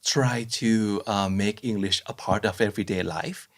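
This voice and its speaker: Woman interviewer with an unusually deep, low voice, attentive and encouraging. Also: normal speed. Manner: attentive, frequent soft backchannels. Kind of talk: casual interview chat.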